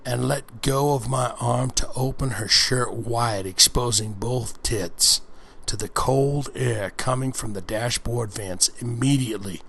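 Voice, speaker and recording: A man's voice: medium pitch, measured pace, vocal fry, a bit bright, husky. A man doing a casual ASMR-style book reading, breaking off into crude, slangy, folksy asides. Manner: crude, slangy, folksy commentary between passages. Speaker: host reading an erotic book aloud.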